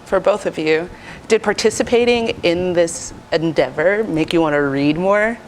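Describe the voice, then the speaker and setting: A woman's voice: on the low side, moderate pace, slight background noise, rough recording. An American woman hosting an interview with prepared questions and introductions. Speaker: host, interviewer.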